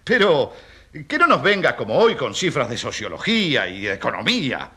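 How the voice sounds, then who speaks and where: A man's voice: mid-range voice, huge pitch swings, moderate pace, bright, forceful tone, husky, heavily acted. A man playing an indignant, pompous prosecutor in a radio courtroom drama, oratorical and sarcastic, with emphatic rhetorical questions. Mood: challenging. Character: indignant, pompous male prosecutor in a church trial.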